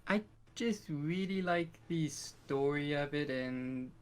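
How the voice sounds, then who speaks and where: A man's voice: on the high side, takes it slowly, clear, resonant tone. A man chatting casually as a guest on a podcast. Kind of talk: casual chat.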